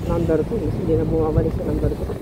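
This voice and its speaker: A man's voice: a little high, little pitch movement, quick pace, very noisy. A man with a Filipino accent in casual banter, talking into a helmet intercom headset as a vlogger.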